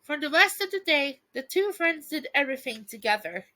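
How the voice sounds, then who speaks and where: A woman reading a bedtime story aloud and chatting casually to viewers, with a playful, giggly, expressive manner. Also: high-pitched, fairly quick. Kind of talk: storybook read-aloud with casual asides to fans.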